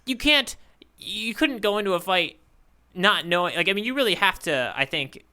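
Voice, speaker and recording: A man's voice: high-pitched, animated, moderate pace, pushed and bright. A man co-hosting a podcast, chatting casually about manga in wordy, playful descriptions. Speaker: podcast co-host. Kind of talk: casual manga discussion chat.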